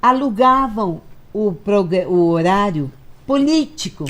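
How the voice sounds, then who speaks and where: An older Brazilian woman reminisces as an interview guest in a medium-pitched voice. She talks casually and emphatically, circling back to repeat key phrases. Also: animated, slow. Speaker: podcast guest, veteran radio actress.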